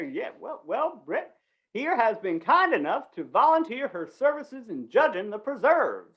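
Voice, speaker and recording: A woman's voice: a little high, very animated, highs cut off. A woman playing a flustered, folksy, dithering small-town announcer in an old-time western radio play. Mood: fussy, genial. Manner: dithering, halting, folksy, repeats words.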